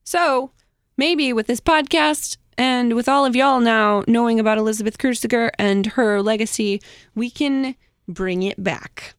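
A woman's voice: fairly high pitch, measured pace, no room sound, studio quality. A woman hosting a podcast, telling a researched history story in an informal, conversational way.

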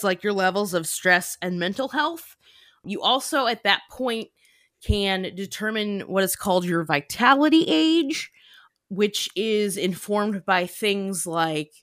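A woman's voice: mid-range voice, fairly bright tone, no echo, clean studio sound. A young woman hosting a podcast, explaining things in a casual, slangy, playful way. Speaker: podcast host.

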